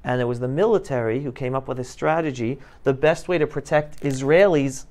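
A man's voice: medium pitch, brisk, a little mellow. A man in his forties giving an informal, emphatic lecture to students, explaining and checking in with questions. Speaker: guest speaker. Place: school classroom, indoors. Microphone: clip-on lapel microphone.

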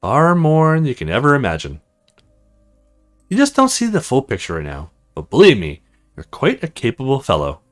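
A man's voice: mid-range voice, wildly swooping pitch, moderate pace, soft background music. A man voicing a stern, teasing female officer in a visual novel, matter-of-fact and commanding. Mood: matter-of-fact, bargaining. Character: stern, teasing military woman officer in charge.

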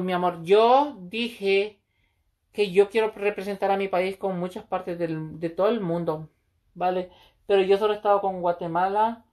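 A woman, chatting casually and flirtatiously on a livestream from a small room, with a phone held close. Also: mid-range voice. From El Salvador. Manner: brash, flirty, swears freely. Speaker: livestream host. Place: small room indoors, seated on a couch.

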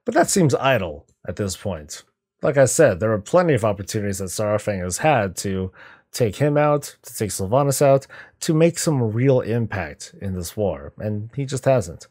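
A man in his thirties hosting a reaction video, giving off-the-cuff analysis in a deliberate, emphatic way. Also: on the low side, very animated, a little mellow, husky.

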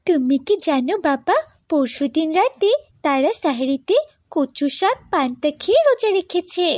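A woman's voice: sits high, animated, moderate pace, darkish tone, no room sound, studio quality. A woman voicing an earnest, devout and polite young girl in a children's moral cartoon. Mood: sad, pleading.

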